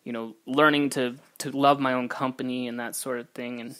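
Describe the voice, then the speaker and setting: A man's voice: medium pitch, flat delivery, normal speed, a bit bright, clear, resonant tone. A man in his late twenties talking through his thoughts in a casual, rambling motivational monologue, reflective and a little self-talking. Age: 20s. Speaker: vlogger.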